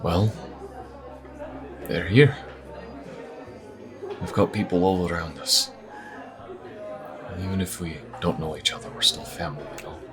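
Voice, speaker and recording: An actor playing a caring priest and devoted partner in a fantasy audio drama, speaking gently and reassuringly. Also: male voice, deep voice, measured pace, pauses often, a crowd in the background. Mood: friendly, easygoing. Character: Leo, caring priest, warm devoted partner and party host.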